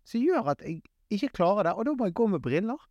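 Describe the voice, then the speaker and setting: Male podcast co-host, casual and funny, stumbling phrasing, highly animated melody, dry recording. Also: no echo, muffled recording. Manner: halting, self-correcting, stammering repeats. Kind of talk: casual humorous chat.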